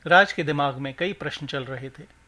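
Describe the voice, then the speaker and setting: A man's voice: on the high side, normal speed, pushed and bright. A man narrating a scripted short story for a podcast, reading as the host.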